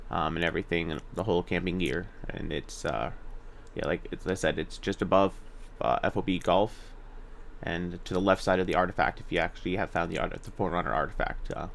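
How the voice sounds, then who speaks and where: A man with a fairly low voice talks through a gameplay guide in a casual, explanatory way. He is halting and meandering, often correcting himself as he goes. There is clear background noise behind his voice. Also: fairly bright tone. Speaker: video game guide narrator. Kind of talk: casual gameplay walkthrough commentary.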